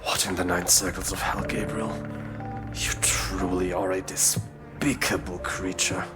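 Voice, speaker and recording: A man's voice: deep voice, little pitch movement, measured pace, over music. A man playing a wry, brooding supernatural detective in a scripted audio drama, with a theatrical delivery. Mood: sombre, disgusted.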